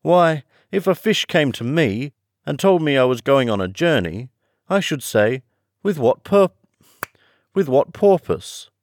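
A man's voice: fairly high pitch, big pitch swings, measured pace, no echo, studio quality, voice pushed up. A man voicing a melancholy, easily offended old male turtle creature in a children's storybook, speaking ponderously and emphatically. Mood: huffy, insistent.

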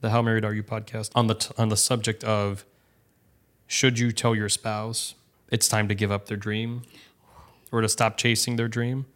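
A man's voice: on the low side, level tone, moderate pace, fairly bright tone. A man co-hosting a podcast, chatting casually in a reassuring, self-deprecating way. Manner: reassuring, self-deprecating, restarts his sentences. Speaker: podcast co-host. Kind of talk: casual chat.

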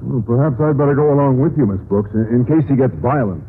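An actor playing a naive, polite biology teacher in an old radio sitcom, speaking with hesitant earnestness. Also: male voice, medium pitch, fast talker, natural voice. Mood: concerned. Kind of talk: 1940s-50s radio sitcom acting. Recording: noisy, narrow-band old radio recording.

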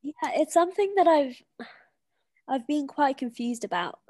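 A woman's voice: high-pitched, big pitch swings, a little slow, a little mellow, dry recording. A young woman from Kenya with a British accent, thinking aloud as a podcast guest. Her talk is hesitant and full of fillers and restarts, recorded close and dry. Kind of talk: casual conversation.

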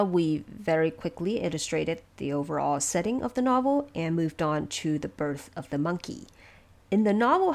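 A woman presenting explanatory production notes from a script in a measured, instructive way. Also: deep voice. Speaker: audio drama producer and presenter.

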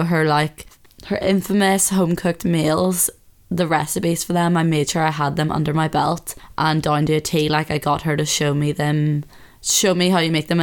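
A woman in her twenties from the UK with a slightly husky voice, hosting a podcast in relaxed casual chat from her bedroom. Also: low voice. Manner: chatty, relaxed, lots of fillers. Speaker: podcast host. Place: her bedroom, sitting on bed.

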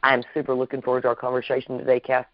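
A man's voice: mid-range voice, monotone, very fast, no echo. Man, effusive podcast host, dry close sound, muffled lo-fi. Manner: effusive, warm-worded, repeats himself. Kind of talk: upbeat interview hosting. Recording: dull lo-fi call audio.

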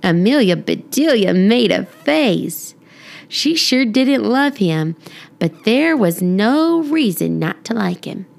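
Expressive woman narrator, slow and animated. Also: mid-range voice, creaky. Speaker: podcast storybook reader. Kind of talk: expressive children's story narration.

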